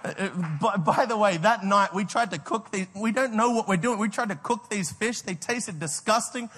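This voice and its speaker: A man with an Australian accent preaching a sermon, energetic and storytelling, often repeating words as he restarts his sentences and laughing at himself. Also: sits high, moderate pace, long reverb tail. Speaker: pastor.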